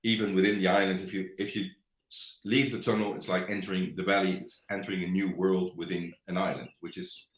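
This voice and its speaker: Deep-voiced Dutch man, halting English. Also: level tone, roomy sound. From Netherlands. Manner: hesitant, searching for words, many fillers. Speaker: guest. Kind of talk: conversational interview.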